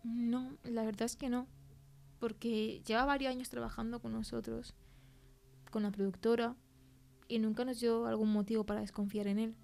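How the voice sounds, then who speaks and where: Spanish woman playing a witness on a podcast, chatty testimony, pretty flat pitch. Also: normal speed, vocal fry. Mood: earnest. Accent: Spain. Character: female film crew worker recounting a frightening experience. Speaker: interview guest. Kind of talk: conversational fictional testimony.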